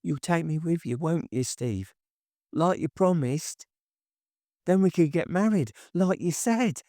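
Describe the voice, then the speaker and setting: A man's voice: fairly high pitch, expressive, measured pace, warm tone, no echo, studio quality, pitched above the performer's own voice. A man reading an audiobook, voicing a timid, frightened young woman with a small, pleading voice. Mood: hopeful pleading. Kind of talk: audiobook character voice.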